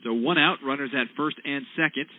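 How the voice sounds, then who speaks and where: Play-by-play man on tinny radio audio. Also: dry recording. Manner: measured, descriptive pitch calls. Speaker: play-by-play announcer.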